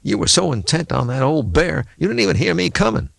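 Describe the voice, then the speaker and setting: Male narrator as folksy backwoods man in a thriller audiobook: needling, insistent, raised pitch, lively swoops. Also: a little fast, creaky, dry recording, clean studio sound, full character voice. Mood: sarcastic, pressing. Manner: folksy, needling rural speech. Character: unruffled, sardonic backwoods man, insistent and preachy. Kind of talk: audiobook character dialogue.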